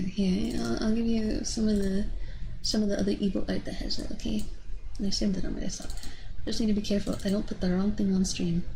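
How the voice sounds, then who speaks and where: A woman's voice: medium pitch, dark tone, rough recording. Playful woman streamer over background noise. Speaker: livestreamer, VTuber host. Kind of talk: casual chat with livestream viewers.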